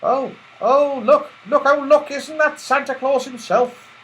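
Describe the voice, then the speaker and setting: A man reading a storybook, voicing an earnest, excitable little girl in an exclamatory way. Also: extremely high pitch, unhurried, a little hiss or hum, pitched above the performer's own voice, low-quality recording. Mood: excited.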